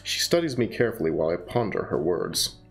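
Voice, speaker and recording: A young man reads a visual novel aloud into a headset mic, breaking off for jokes and asides. His voice is low and gravelly, yet his pitch moves around a lot. Faint guitar music plays behind him. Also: big pitch swings, fast. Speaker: streamer reading a visual novel. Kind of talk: casual let's-play narration.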